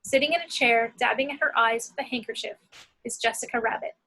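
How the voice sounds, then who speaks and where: Woman, high bright voice, husky edge, scripted screenplay narration. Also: a little fast, rough recording. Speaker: action-description reader.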